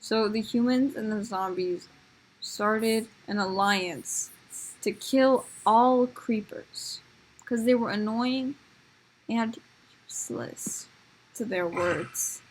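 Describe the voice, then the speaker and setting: A woman improvising comic stories for a puppet show in a giggly, halting way. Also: on the high side, poor audio. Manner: giggly, halting, making it up as she goes.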